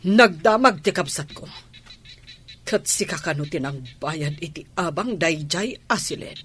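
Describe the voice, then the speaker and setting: An actress playing a hurt, angry betrayed wife in a Filipino radio drama, with an emotional, deliberate delivery and a Filipino accent. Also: female voice, fairly low pitch, expressive, fairly bright tone, slightly rough, heavily acted. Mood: wounded, accusing. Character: hurt, angry wife confronting her cheating husband.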